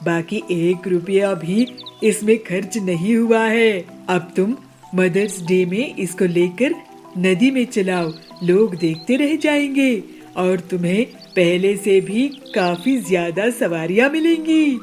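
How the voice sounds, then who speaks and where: A woman voicing a devoted young son bird in a cartoon moral story, speaking earnestly and gently. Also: medium pitch, lively intonation, slow pace, faint music behind. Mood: earnest, proud.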